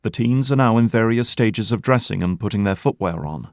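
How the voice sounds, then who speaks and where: A man's voice: fairly low pitch, brisk, a little mellow, no echo. A man narrating a scripted audio drama.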